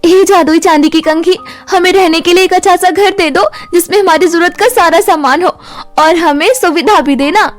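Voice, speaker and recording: Casting: woman playing small, earnest schoolgirl for animated fairytale, very high sweet voice, music underneath. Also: moderate pace. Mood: happy, eager. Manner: earnest, wide-eyed, pleading. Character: timid, sweet young schoolgirl, wide-eyed and earnest.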